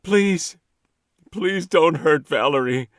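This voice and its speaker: A man's voice: fairly high pitch, slow pace, no echo, clean studio sound. A man playing a frightened, guilt-ridden young husband in a horror radio drama, pleading and anguished.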